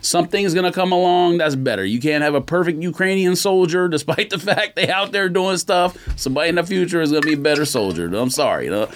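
A man with a slightly high, very animated voice, debating casually as a podcast co-host. He argues insistently and hammers his points home by repeating them. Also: fast, few pauses. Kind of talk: casual debate among friends. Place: small indoor podcast studio.

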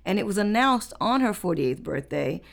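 Chatty woman with a gravelly edge. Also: mid-range voice, very animated, moderate pace. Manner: chatty, reads facts in a conversational tone. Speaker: podcast host. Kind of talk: casual chat.

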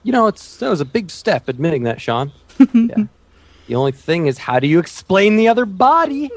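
Woman, podcast co-host, lively swooping intonation, casual, jokey, exclaiming, mock-exasperated. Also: medium pitch, normal speed, no room sound. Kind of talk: casual TV recap chat with friends.

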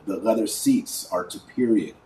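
A middle-aged man giving informal religious commentary as a podcast host, speaking emphatically with pauses and drawn-out key words. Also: fairly low pitch, mellow. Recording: roomy echo, distant mic, faint noise.

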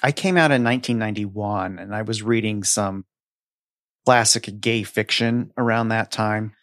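A middle-aged man reminiscing casually about books in a measured, articulate way, as a podcast co-host. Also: fairly low pitch, fairly bright tone, no echo, studio quality.

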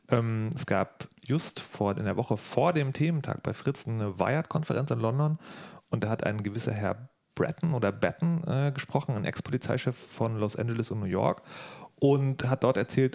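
A man's voice: mid-range voice, vocal fry, darkish tone. A man hosting a casual discussion, speaking colloquially with a wry touch and posing questions. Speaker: podcast host.

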